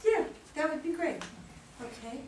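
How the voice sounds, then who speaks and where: A woman's voice: high-pitched, sing-song, unhurried. A woman casually and hesitantly organizing a group play reading. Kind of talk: casual announcing, assigning parts. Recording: echoey room from far off, faint noise.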